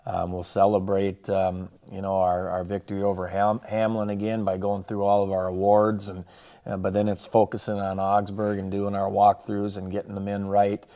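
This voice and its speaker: An American man, a college football coach, talking through a game preview in a halting, conversational way. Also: lowish voice, completely flat delivery, warm tone.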